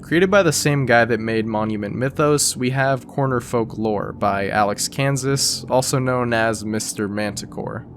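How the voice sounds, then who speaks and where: A man's voice: medium pitch, measured pace, hardly any pauses, soft background music. A man narrating an explainer video in a casual, conversational, scripted style. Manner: conversational, informal.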